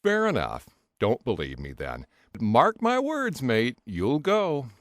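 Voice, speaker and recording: A man's voice: medium pitch, wildly swooping pitch, slow pace, no echo, studio quality, voice pushed up. A man voicing a smug, sneering male office colleague who mocks and taunts in an audiobook. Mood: mock warning. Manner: mocking, taunting. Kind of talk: audiobook character dialogue.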